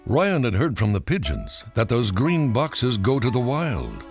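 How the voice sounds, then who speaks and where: A male narrator tells a children's story in a read-along style. His delivery swings expressively up and down, with clear music playing behind him. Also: medium pitch, wildly swooping pitch, measured pace.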